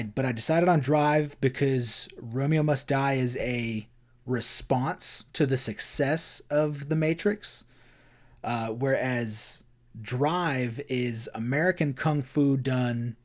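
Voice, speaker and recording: A man in his late twenties hosts a laid-back film podcast at an average pitch. He talks things through in a relaxed, thoughtful way, with plenty of "uh"s as he gathers his thoughts. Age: 20s. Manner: relaxed, thoughtful, with hesitant fillers. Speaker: podcast host. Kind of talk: casual film discussion.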